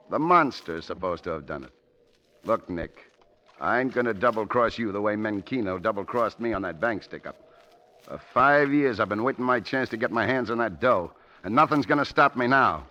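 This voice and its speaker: An actor playing a ruthless, bullying bank robber with tough slangy talk in a 1940s radio drama. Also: male voice, fairly low pitch, expressive, moderate pace, bright, forceful tone. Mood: menacing. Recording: dull lo-fi old radio recording.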